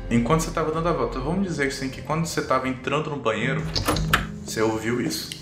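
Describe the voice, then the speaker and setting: A man's voice: mid-range voice, fast, fairly bright tone. A man with a Brazilian accent improvising tabletop RPG narration as game master, setting scenes piece by piece. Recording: roomy echo, music underneath. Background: background music.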